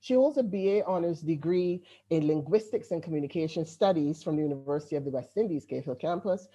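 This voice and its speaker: A Barbadian woman hosts an online poetry evening in a relaxed, chatty way. Her voice sits fairly low and sounds warm and mellow. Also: fast talker. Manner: chatty, informal, introduces guests. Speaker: event host. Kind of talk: hosting an online poetry event.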